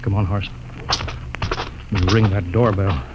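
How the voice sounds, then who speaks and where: An actor playing a brisk, authoritative male spaceship captain in a 1950s radio drama. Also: lowish voice, steady tone, heavy background noise, close to an everyday voice. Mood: bewildered.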